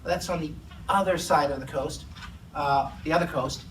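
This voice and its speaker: A middle-aged man from Massachusetts with a Massachusetts accent, giving a lively, joking conference presentation to a live audience. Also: fairly high pitch, moderate pace. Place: conference room in an office. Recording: echoey room, distant mic, faint hum.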